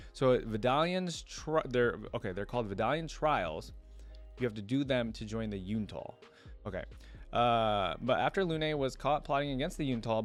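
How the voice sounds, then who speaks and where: Guy doing a casual reaction vid, reads stuff out and thinks out loud, medium pitch, some music in the background. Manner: informal, thinking aloud, explaining as he goes. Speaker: reaction video host. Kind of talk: casual reaction commentary mixed with reading lore aloud.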